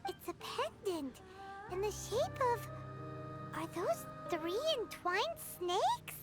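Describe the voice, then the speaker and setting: Super high squeaky little fairy sidekick girl, chirpy and bouncy, video game, loud music under it. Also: very animated, slow, full character voice. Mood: puzzled, insistent. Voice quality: squeaky, childlike. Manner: speaks of self in third person. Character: small childlike floating fairy companion girl, chirpy and excitable. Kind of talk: video game cutscene dialogue.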